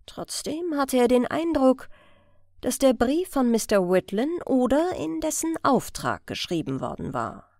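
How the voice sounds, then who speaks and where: A woman's voice: high-pitched, very animated, measured pace, darkish tone, no room sound, studio quality. A woman reading a scripted story aloud as an audiobook narrator.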